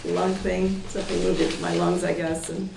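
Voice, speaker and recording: A woman's voice: on the low side, level tone, moderate pace, gravelly, far from the mic. A woman in her sixties, from Portland, Oregon, who is losing her voice, explaining her plans in a rambling, self-correcting way at a public meeting. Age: 60s. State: losing her voice, clearing her throat. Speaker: applicant presenting a plan at a public meeting. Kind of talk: unscripted explanation with many fillers. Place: community meeting room, indoors. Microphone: table microphone, some distance off. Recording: echoey room, voice away from mic, faint noise.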